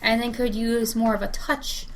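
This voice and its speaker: A woman with a slightly high voice speaks as a podcast guest. She is blunt and skeptical, arguing casually. The room has faint background noise. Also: flat delivery, moderate pace. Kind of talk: casual opinionated discussion.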